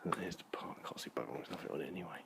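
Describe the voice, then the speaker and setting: A man's voice: extremely low pitch, animated, racing, gravelly, in a whisper. A man chatting casually and ramblingly to camera, with informal muttered asides, as he walks outdoors. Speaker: vlogger. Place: outdoors in a park at night, walking. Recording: noisy outdoor handheld camera recording.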